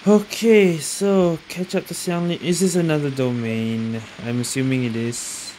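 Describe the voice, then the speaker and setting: A woman's voice: low voice, fairly even intonation, measured pace, noisy background. A woman giving casual, amused gameplay commentary, reacting hesitantly and reading out on-screen text. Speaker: gaming video creator.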